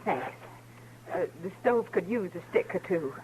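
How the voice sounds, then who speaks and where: A woman's voice: fairly low pitch, animated, lo-fi sound, natural voice. Woman playing a plain-spoken, resolute frontier mother. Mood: worried. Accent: rural American. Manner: earnest, folksy, halting. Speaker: radio actor. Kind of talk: old-time radio western acting. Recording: noisy vintage radio recording with hum.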